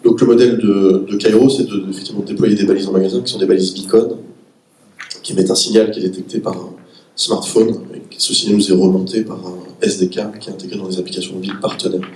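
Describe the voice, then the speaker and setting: A man's voice: low-pitched, level tone, fast talker, a little mellow. A man who has a cold, explaining his technical business on a round-table panel. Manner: explanatory, technical, self-correcting. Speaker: panelist, startup executive. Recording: echoey room, far from the mic.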